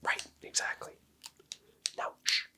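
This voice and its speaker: A very bright, sibilant whisper, right up close to the microphone. The speaker plays a barber in an ASMR roleplay, murmuring slowly with a soft, teasing manner and plenty of breath. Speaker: ASMR creator playing a barber in a haircut roleplay.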